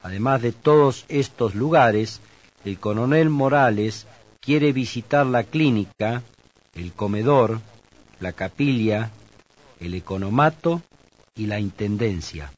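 A man reading scripted sentences for a language course, slow and clear. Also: fairly low pitch. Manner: slow, clear read-aloud delivery. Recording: dull lo-fi tape audio.

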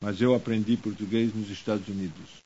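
Man giving slow, deliberate language drills. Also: lowish voice, fairly even intonation, dark tone, breathy edge. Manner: slow, deliberate, evenly paced drill responses. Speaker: language course drill speaker. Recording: dull lo-fi course tape.

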